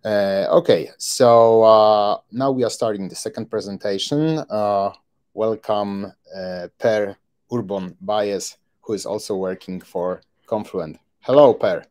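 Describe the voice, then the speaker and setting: A man with a fairly low voice who hosts an online tech meetup. He welcomes the audience back and introduces the next speaker. He talks haltingly, with plenty of fillers. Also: a little mellow. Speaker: meetup host. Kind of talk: event moderation.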